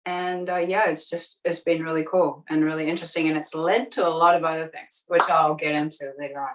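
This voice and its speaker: A woman in her forties from New Zealand, her voice low and her accent only faint. She chats in a relaxed, easy way and laughs readily. She sounds a little distant from the microphone. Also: a little fast. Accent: light New Zealand. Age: 40s. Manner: relaxed, chatty, laughs easily. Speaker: interview guest. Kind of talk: casual interview chat. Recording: distant from the mic.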